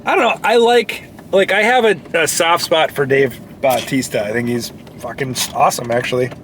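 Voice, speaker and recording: A man's voice: on the high side, fairly quick, fairly bright tone. A man in casual movie chat as a co-host, blunt, teasing and swearing freely. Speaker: co-host of brothers' movie review vlog. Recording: noisy in-car recording.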